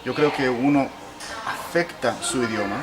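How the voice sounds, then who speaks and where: Man, mid pitch, interviewer, halting and self-correcting. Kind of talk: casual interview.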